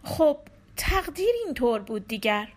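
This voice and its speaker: A woman voicing a calm husband who breaks bad news gently, in a soft, measured way. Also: a little high.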